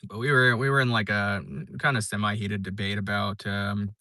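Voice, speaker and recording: A man's voice: fairly low pitch, level tone, moderate pace, pushed and bright, dry recording, clean studio sound. A young man live streaming, chatting casually and reading viewer comments, rambling with lots of fillers and restarts. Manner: laid-back, rambling, many fillers and restarts.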